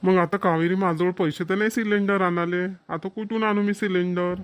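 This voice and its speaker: Man as high-pitched broke village husband. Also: steady tone, a little fast, clean tone, no room sound, lightly acted. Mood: coaxing. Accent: Marathi, Vidarbha. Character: broke village husband and father, scolding yet coaxing. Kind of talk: cartoon comedy dialogue.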